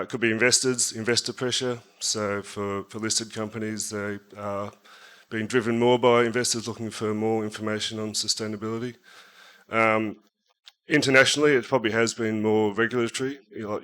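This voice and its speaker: A hoarse man, answering as a panelist in a measured, steady way with frequent ums. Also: on the low side. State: hoarse, losing his voice. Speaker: panelist, advisor on sustainability reporting.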